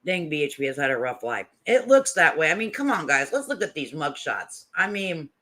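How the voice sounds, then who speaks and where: A woman's voice: low-pitched, sing-song, a little fast, fairly bright tone. A woman hosting a casual livestream commentary, talking to her chat in blunt, opinionated, folksy slang and repeating points for emphasis. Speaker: livestream host.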